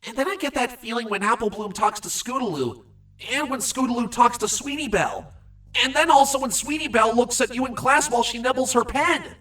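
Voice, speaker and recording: A woman's voice: a little high, brisk, fairly bright tone, natural voice. A woman voicing an innocent young male changeling, speaking frankly and earnestly in a cartoon fan audio drama. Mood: earnest, oblivious. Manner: earnest, matter-of-fact. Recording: distant mic, music behind.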